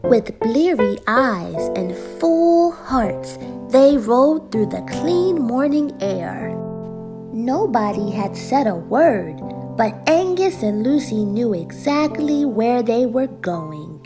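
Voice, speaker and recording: Slow, high-voiced woman reading a storybook. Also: big pitch swings. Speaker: storybook reader. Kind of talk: children's story read-aloud. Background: music.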